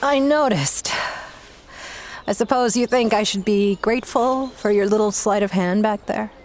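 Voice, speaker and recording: An actress playing a wry, defiant treasure-hunting adventuress in a 1930s-set audio drama, talking in a dry, sarcastic way. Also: female voice, mid-range voice, unhurried, a little mellow, a little hiss or hum, natural voice. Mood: bitter sarcasm.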